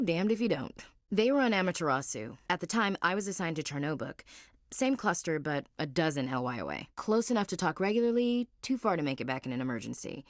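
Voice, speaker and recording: A woman's voice: fairly low pitch, no echo. An actress playing a blunt, protective young female Marine in a video game, talking in a dry, wry military way. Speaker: soldier. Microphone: close studio mic.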